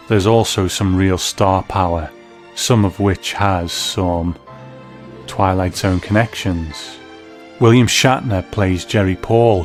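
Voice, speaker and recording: A man hosting a podcast, delivering scripted documentary-style narration. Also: sits low. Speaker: podcast host.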